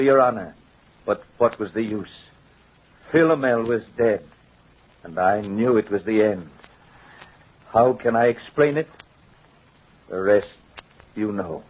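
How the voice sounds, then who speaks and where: A man's voice: mid-range voice, breathy edge. An actor playing a guilt-ridden craftsman confessing to a judge, earnest and dramatic, in old-time radio style. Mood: sorrowful, resigned. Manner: dramatic, earnest, deliberate phrasing. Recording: muffled old radio recording.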